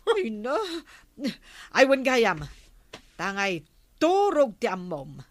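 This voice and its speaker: A woman's voice: a little high, big pitch swings, slow pace, dry recording. A woman with an Ilocano accent playing a village captain's nagging, exasperated wife in a radio comedy drama. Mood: scolding.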